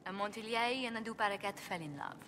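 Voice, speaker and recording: A woman acts a diplomatic noblewoman in a fantasy game. She is earnest, refined and carefully polite, at an average female pitch. A long reverb surrounds her, with faint ambience behind. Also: quick pace, fairly bright tone, slight background noise, natural voice. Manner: polite, formal, articulate. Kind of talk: scripted video game cutscene acting.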